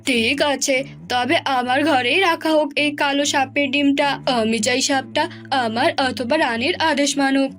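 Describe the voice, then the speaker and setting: A woman's voice: sits high, steady tone, moderate pace, a bit bright, soft background music. A woman voicing a commanding, vengeful father king in a cartoon, with formal authority.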